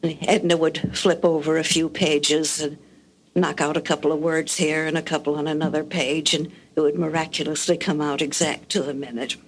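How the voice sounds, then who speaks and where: An older woman with a low voice, reminiscing about radio production in a measured, articulate, dryly humorous way. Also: little pitch movement, slight background noise, rough recording. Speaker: guest recalling radio production history. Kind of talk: reminiscing anecdotes.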